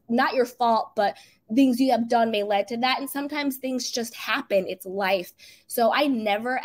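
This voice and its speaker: A woman whose voice is raspy from being sick, giving sassy, emphatic celebrity gossip commentary on her channel. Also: on the high side. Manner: sassy, emphatic, drawn-out stresses. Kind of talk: casual gossip commentary to camera.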